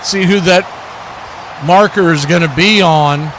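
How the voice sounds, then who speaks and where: A man doing live play-by-play football commentary for a stream, in clipped phrases that grow excited on big plays. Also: high-pitched, unhurried, noisy background.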